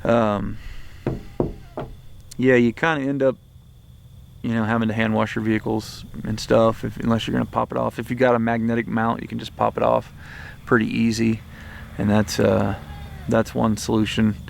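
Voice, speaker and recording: A man's voice: mid-range voice, little pitch movement, moderate pace, long pauses. An American man giving a casual, unscripted gear review in a rambling, matter-of-fact way, recorded on a handheld camera. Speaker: presenter of gear review videos. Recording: clear background noise, handheld camera audio.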